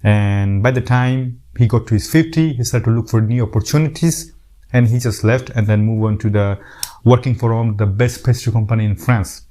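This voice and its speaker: A man's voice: medium pitch, normal speed, mellow. A middle-aged man from Bangladesh with a Bangladeshi accent, giving earnest casual advice to camera, often repeating words and restarting his sentences. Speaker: YouTube host.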